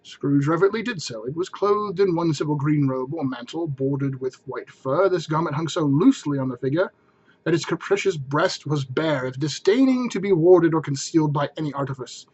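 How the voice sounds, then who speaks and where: A man's voice: a little high, fairly quick, darkish tone, husky, muffled recording. A man reading a classic story aloud as narrator, in a theatrical style with emphatic phrasing.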